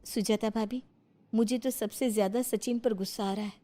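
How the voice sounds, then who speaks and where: A woman's voice: a little high, steady tone, normal speed, dark tone, close to an everyday voice. A woman voicing a young village mother in a story, outspoken, indignant and emphatic. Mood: hurt, indignant.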